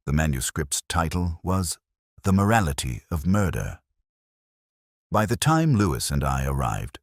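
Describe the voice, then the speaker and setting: A man playing a brooding, cerebral older detective inspector, narrating a mystery in a measured, grave, literary manner for a radio drama. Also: low voice, dry recording, clean studio sound, heavily acted.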